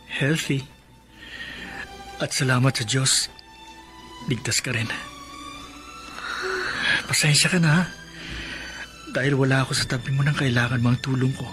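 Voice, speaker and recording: Medium-pitched actor as gentle concerned husband, apologetic, radio drama, music behind. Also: male voice, steady tone, unhurried, long pauses, lightly acted. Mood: concerned, relieved. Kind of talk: Filipino radio drama acting.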